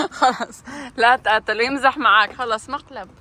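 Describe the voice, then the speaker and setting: An older woman, a grandmother, chatting casually with her grandchildren for a family video, teasing and coaxing them along. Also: sits high. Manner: warm teasing, coaxing children, often laughing. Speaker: grandmother joining a family vlog.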